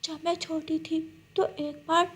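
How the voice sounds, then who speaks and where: A woman's voice: extremely high pitch, flat delivery, takes it slowly, pitched above the performer's own voice. A woman voicing a naive, greedy young female goat in a children's cartoon story. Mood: delighted.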